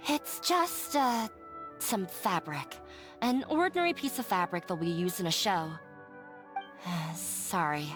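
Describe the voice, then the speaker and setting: Shy nervous young woman, hesitant, flustered, slow halting speech, game character, soft music. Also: fairly low pitch, expressive. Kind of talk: game voice acting.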